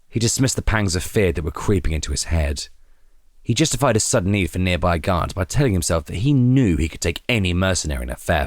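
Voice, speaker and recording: A man narrating a scripted story in a dramatic, expressive and suspenseful way. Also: low-pitched, dry recording, clean studio sound.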